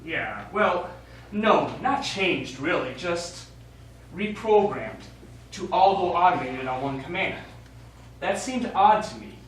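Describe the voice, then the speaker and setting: A frank, probing mission commander played by a male stage actor, with a slightly high, very animated voice. The live play dialogue comes from far off in a heavily echoing space. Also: slow pace, heavily acted. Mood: probing, wary. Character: blunt male space mission commander questioning his crewman. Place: small theater studio, recorded from audience distance. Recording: echoey hall, distant mic, faint noise.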